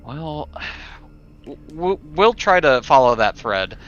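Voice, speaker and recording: A man playing a tabletop roleplaying game, speaking casually in character in a hesitant, measured way. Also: a little high, big pitch swings, fairly bright tone. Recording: dull audio, music behind.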